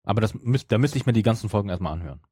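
A man's voice: lowish voice, animated, rapid-fire, warm tone, husky, dry recording, studio quality. A man in casual podcast chat, musing hesitantly and hedging as a co-host.

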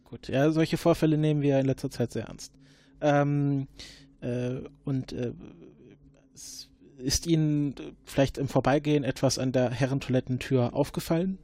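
A man playing a formal agent interrogating a witness in an improvised audio drama, halting and full of fillers. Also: medium pitch, steady tone, dark tone, dry recording, natural voice. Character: formal male time-travel agent questioning a witness.

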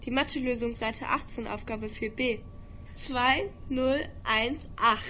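A woman's voice: a little high, slow pace, bright, forceful tone, slight background noise. A teenage girl acting a curious, matter-of-fact schoolgirl in an amateur radio play. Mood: matter-of-fact, puzzled.

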